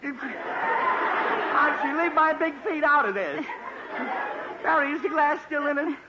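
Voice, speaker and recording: A man's voice: extremely high pitch, expressive, unhurried, a loud crowd. An actor playing an exasperated, accident-prone middle-aged father who blusters and complains melodramatically in 1940s radio comedy style. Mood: pained, melodramatic. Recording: noisy, muffled old 1940s radio recording.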